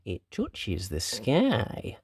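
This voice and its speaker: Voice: medium pitch, wildly swooping pitch, drawn-out words, a little mellow, husky, full character voice. A storyteller as a strange, mysterious little man. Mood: sly, mysterious. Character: strange little old-tale man peddling magic beans.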